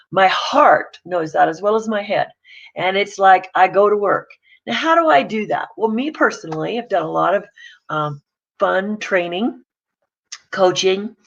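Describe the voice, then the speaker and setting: A woman's voice: mid-range voice, highs cut off. A woman coach giving a casual, plain-spoken morning talk to camera. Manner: chatty, pragmatic, plain-spoken.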